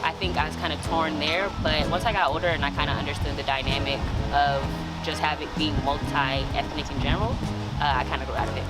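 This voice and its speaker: A woman's voice: low-pitched, fairly even intonation, brisk, rough recording. A young woman from the Montgomery County area, answering interview questions in a casual, reflective way with lots of "like" and "um". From Montgomery County area, USA. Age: young adult. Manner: chatty, reflective, many fillers like "like" and "um". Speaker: university student interviewee. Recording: loud music bed under voice.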